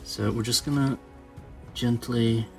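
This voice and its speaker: A man's voice: medium pitch, fairly even intonation, slow, creaky, a little mellow, faint music behind. A man giving a casual hobby tutorial, thinking aloud informally as he works. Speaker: toy customizer presenting own channel.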